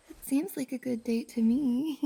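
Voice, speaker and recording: Woman acting a high-pitched, shy, whispery young woman. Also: mellow, full character voice. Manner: breathy, hesitant, sighing, often dropping to a whisper. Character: shy, flustered young woman cosplayer confessing to best friend. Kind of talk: intimate roleplay ASMR audio drama.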